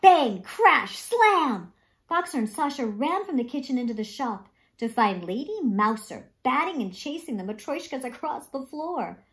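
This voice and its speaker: A woman reading a children's story aloud with expressive, dramatic, emphatic narration. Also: high voice, a little slow.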